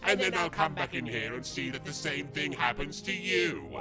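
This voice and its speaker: A man's voice: fairly high pitch, expressive, moderate pace, lightly acted. A man voicing a gleefully menacing, insane villain in a cartoon parody, theatrical and taunting. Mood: taunting menace. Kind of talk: comedic anime parody dub. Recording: background music under dialogue.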